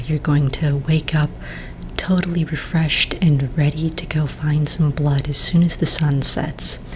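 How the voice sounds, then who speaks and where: Woman doing a soft whispery vampire sales lady, asmr roleplay, real low voice, gentle n patient, rumbly noise in the background. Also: natural voice, poor audio. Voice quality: soft, breathy, mostly whispered. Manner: gentle, unhurried, reassuring. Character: friendly, patient female vampire coffin sales agent.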